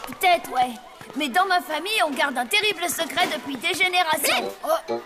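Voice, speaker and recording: A high, boyish cartoon voice for a touchy, boastful young zombie. The delivery is stubborn and blustering. Loud music plays behind it. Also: female voice, expressive, normal speed. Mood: bluffing. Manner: defiant, blustering. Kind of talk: animated cartoon dub acting.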